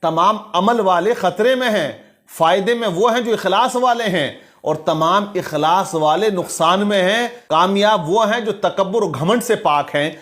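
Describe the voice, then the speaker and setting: A man's voice: sits high, normal speed, a bit bright. A man presenting online news commentary in a preachy, denunciatory manner, quoting religious sayings.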